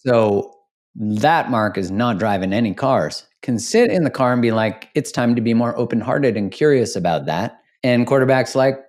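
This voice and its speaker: Guy hosting a podcast, mid-pitched voice, thinks out loud, swears casually. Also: moderate pace. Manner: reflective, swears casually, laughs easily. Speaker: podcast host.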